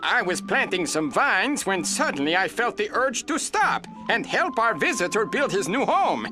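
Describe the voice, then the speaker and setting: A man's voice: high voice, sing-song, moderate pace. A man voicing a cheerful, naive, brainwashed alien fungus gardener in a game cutscene, chirpy and eager. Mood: chipper, helpful. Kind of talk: animated game cutscene acting. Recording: music behind voice.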